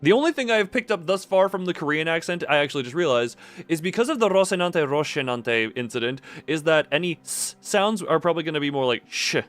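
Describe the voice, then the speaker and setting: A man's voice: on the high side, animated, quick pace, a bit bright, soft background music. A man streaming a game with casual live commentary, rambling and correcting himself as he goes.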